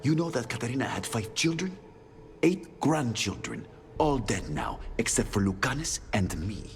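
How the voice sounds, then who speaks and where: A man's voice: medium pitch, big pitch swings, normal speed, slight background noise. An actor playing a bitter, doom-saying man of an assassin family in a video game, needling with brooding questions. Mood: bitter, grim. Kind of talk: dramatic video game acting. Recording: music behind the voice.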